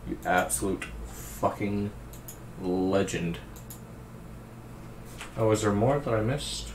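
A young man with a naturally deep, raspy voice, hosting a casual music reaction, laid-back and swearing freely as he praises a singer. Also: slow pace, reverberant, background noise. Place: indoor room at a streaming desk.

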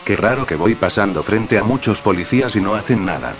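A man's voice: fairly low pitch, expressive, a little fast. A man giving casual, laid-back commentary as a gaming motovlogger. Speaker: gaming vlogger. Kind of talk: casual motovlog-style commentary over gameplay. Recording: engine noise over the voice. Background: buzzing motorbike engine from a video game.

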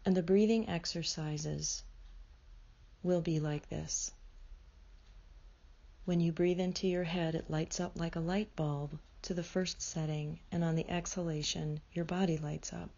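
A woman leading a guided meditation in a slow, soothing way, often dropping into soft whispers. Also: fairly low pitch, steady tone, vocal fry, muffled recording.

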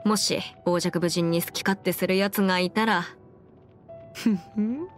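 A woman's voice: lowish voice, steady tone, measured pace, natural voice. A woman voicing a confident, clever young female legal advisor in an anime game, articulate and slightly boastful, with playful pride. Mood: stern, teasing. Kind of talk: Japanese anime game character voice lines. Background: music.

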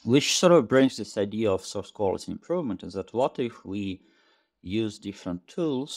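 A man in his forties, a tech company chief, talking over a video call in a thoughtful, halting way, often repeating words as he searches for them. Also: lowish voice, animated, a little mellow. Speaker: tech company CEO guest. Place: small home room indoors. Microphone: headset over video call.

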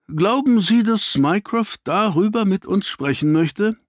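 Gentleman detective, played by a male narrator: shrewd, inquisitive, formal, raised pitch, audiobook crime story. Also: animated, unhurried, no room sound, studio quality. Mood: keen, dry.

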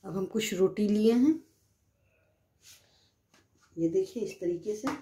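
A woman's voice: medium pitch, slow, warm tone. Woman explaining a recipe, noisy kitchen. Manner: plain step-by-step explaining. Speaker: cooking channel host. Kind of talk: home cooking demonstration voice-over. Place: home kitchen.

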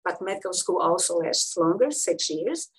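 Brazilian woman in her sixties, a physician guest; low husky voice, halting delivery full of fillers. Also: animated, low-quality recording. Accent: Brazilian Portuguese. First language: Portuguese. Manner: halting, many fillers and restarts. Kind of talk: interview storytelling.

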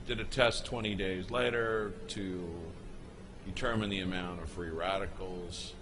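A man giving an unscripted voice-over, explaining footage in a halting way with pauses and restarts. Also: low-pitched, steady tone, background noise. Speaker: narrator explaining a product video.

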